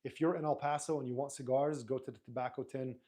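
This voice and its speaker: A man whose first language is Arabic, hosting a live stream in a relaxed, rambling way, reading viewer comments aloud and answering them. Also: medium pitch, fast talker. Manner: relaxed, rambling, frequent restarts and fillers.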